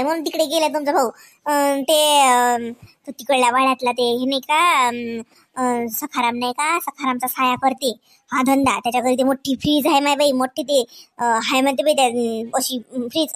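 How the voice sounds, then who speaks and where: A woman's voice: high-pitched, normal speed, fairly bright tone. A woman voicing a chatty, unpolished village sister-in-law in a comic cartoon, with a Varhadi Marathi accent and a folksy, rambling, word-searching manner. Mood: chatty, explaining.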